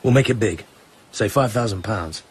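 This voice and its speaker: An actor playing a cool, suave British secret agent in a radio drama, understated and brooding. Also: male voice, lowish voice, normal speed, husky. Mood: businesslike.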